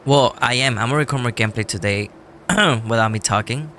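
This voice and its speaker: A man losing his voice from illness talks casually to his viewers, apologetic and rambling, clearing his throat often. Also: medium pitch, brisk, pushed and bright, a little hiss or hum. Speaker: gameplay YouTuber. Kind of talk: casual talk to viewers before gameplay.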